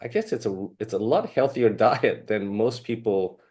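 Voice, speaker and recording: A man's voice: lowish voice, sing-song, normal speed, darkish tone. A man hosting an interview, asking long, rambling questions with many fillers. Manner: rambling, loosely built questions. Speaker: host. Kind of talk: casual interview talk.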